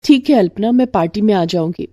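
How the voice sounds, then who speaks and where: A woman voicing a gentle, devout, humble young mother in a cartoon moral story, speaking softly and patiently. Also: mid-range voice, expressive, brisk, no echo, dull audio, natural voice. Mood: gentle, earnest.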